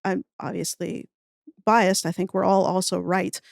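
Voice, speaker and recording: A woman's voice: on the low side, steady tone, measured pace, vocal fry, no echo. Woman talking casually and emphatically, close dry sound. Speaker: podcast guest, audio fiction writer. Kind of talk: casual, opinionated conversation.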